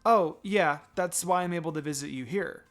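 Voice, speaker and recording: A man's voice: fairly high pitch, moderate pace, fairly bright tone, soft background music. A man voicing a polite, hesitant, caring young ninja girl in a fan fiction reading. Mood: shy, curious.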